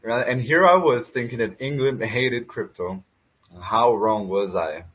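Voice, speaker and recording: A man's voice: mid-range voice, unhurried, fairly bright tone, husky, highs cut off. A man hosting a casual live audio chat, laid-back and joking with slang. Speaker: host.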